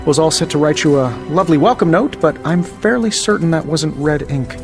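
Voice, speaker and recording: An actor playing a flippant superhero inventor in a video game cutscene, sarcastic and chatty with nervous bravado and hesitant asides. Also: male voice, a little high, expressive, brisk, gravelly. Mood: wry, uneasy. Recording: loud music underneath. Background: music.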